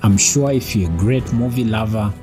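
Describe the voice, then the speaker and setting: A man who hosts a channel, reading a scripted narration of facts in a measured, teaching tone. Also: lowish voice, over music. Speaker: channel host. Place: voice-over with background music.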